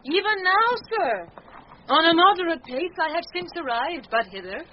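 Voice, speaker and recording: A woman's voice: very high-pitched, lively intonation, moderate pace. An actress playing a young woman disguised as a polite page boy in a classical Shakespearean radio play. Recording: muffled radio recording, faint noise.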